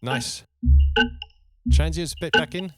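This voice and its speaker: A man giving an informal software tutorial as a music production tutor, thinking aloud with easy enthusiasm. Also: fairly high pitch, takes it slowly, no room sound, clean studio sound. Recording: music playing alongside the voice. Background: electronic sound demos and music.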